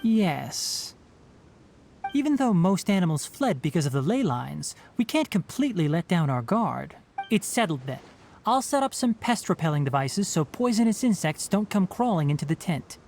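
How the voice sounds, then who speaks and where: A man's voice: high-pitched, very animated, normal speed, gravelly, darkish tone, slight background noise, full character voice. A man playing a young, knowledgeable forest ranger in a video game, instructive and slightly wry. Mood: calm, practical. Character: young male forest ranger, knowledgeable, dry, gently strict. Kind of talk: video game character acting.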